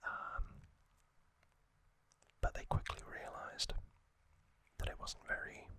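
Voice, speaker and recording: A man hosting an ASMR channel, whispering an informal, unhurried explainer in a hushed voice. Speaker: host of an ASMR trivia channel.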